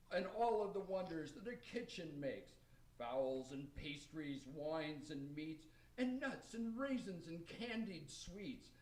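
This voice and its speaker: A man's voice: fairly high pitch, a little mellow, recorded from a distance. Kindly, earnest Father Christmas figure, played by a man, reciting radio-play verse in a roomy echo, off mic. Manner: declaiming rhymed verse, earnest. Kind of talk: amateur radio play acting.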